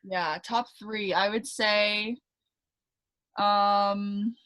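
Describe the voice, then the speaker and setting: A young woman with a Canadian accent talks casually as a podcast guest. Her voice is bright and clear-toned, and she sits in an ordinary mid range for a woman. She rambles with plenty of "like"s and quick restarts. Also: medium pitch, fairly even intonation. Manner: chatty, many fillers and restarts, laughs easily. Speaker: guest, pro tennis player. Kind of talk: casual interview chat.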